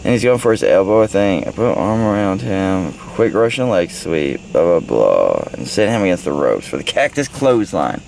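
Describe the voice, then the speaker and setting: A man's voice: low-pitched, sing-song, moderate pace, vocal fry, few pauses, darkish tone, rough recording. An American man in his thirties giving a rambling, casual book report with heavy swearing and bits read aloud, recorded on a handheld camera in a car. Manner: crude, heavy swearing, irreverent, scattered. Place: inside a parked car. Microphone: handheld phone or camera at arm's length. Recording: handheld recording with faint background noise.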